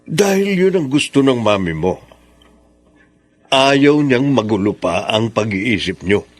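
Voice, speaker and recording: A man's voice: mid-range voice, big pitch swings, a little slow, no echo, faint music behind. An actor playing a guilty, apologetic middle-aged father in a radio drama. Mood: remorseful.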